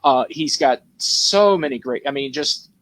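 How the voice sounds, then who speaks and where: A man's voice: mid-range voice, expressive, unhurried, fairly bright tone, no room sound. A man chatting casually on a podcast panel, enthusiastic and rambling, often restarting his sentences. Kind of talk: casual chat.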